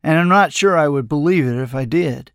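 A man's voice: on the high side, fairly quick, smooth, no echo, clean studio sound, natural voice. A man voicing a bitter, troubled young man who speaks in a curt, sarcastic, defensive way, as dialogue in an audiobook. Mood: skeptical.

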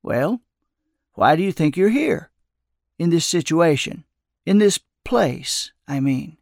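A kindly old man of the road, acted out by a male narrator, pitched a little high with some creak in the voice. He talks in a calm, folksy, soothing way, like a storybook mentor, close and dry. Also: slow pace, no echo. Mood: gentle, probing. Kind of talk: audiobook character dialogue.

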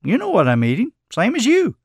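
A man's voice: mid-range voice, very animated, normal speed, no echo, clean studio sound. A man voicing an eager, earnest, naive young man down on his luck in an audiobook. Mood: casual, puzzled.

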